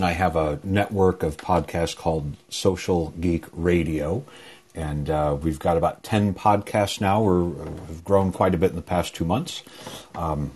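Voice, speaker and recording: Deep-voiced man, relaxed podcaster. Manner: relaxed, conversational, some restarts. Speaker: podcast producer. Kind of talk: casual panel talk on a video call.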